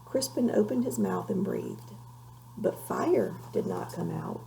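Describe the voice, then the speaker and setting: Female storybook reader: lively, mellow, heavy vocal fry, measured dramatic delivery, slight background hum. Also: lowish voice. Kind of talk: expressive children's storybook narration.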